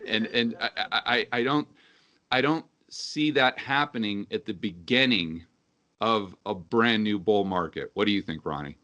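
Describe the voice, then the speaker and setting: Bright-voiced male show host. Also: on the low side, a little slow, highs cut off. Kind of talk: casual interview talk.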